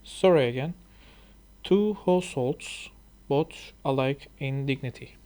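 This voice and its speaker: A man from Turkey with a Turkish accent, a YouTuber learning English who talks and reads aloud hesitantly, with many pauses and fillers. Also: mid-range voice, mellow. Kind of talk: halting casual reading vlog.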